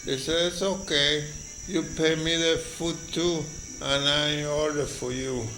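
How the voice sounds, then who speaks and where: Slow elderly man. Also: a little high, steady tone, pushed and bright, faint music behind. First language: Spanish. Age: 80s. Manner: rambling, halting with fillers and chuckles. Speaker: interviewee. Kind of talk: slow storytelling reminiscence. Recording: noisy with crickets.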